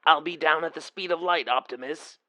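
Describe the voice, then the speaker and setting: A man voicing an earnest, excitable nine-year-old boy talking in a childlike way on a walkie-talkie in an audio story. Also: a little high, a little fast, a little hiss or hum, pitched above the performer's own voice. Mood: mock alarm.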